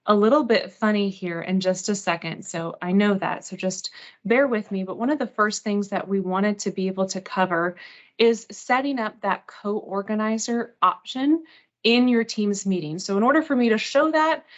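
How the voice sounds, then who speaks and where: Slightly muffled, lo-fi online meeting audio carries a woman with a mid-pitched voice. She leads a live software walkthrough as host. Her delivery is informal and chatty, self-deprecating about herself and reassuring toward those following along. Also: normal speed. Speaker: webinar host and software trainer.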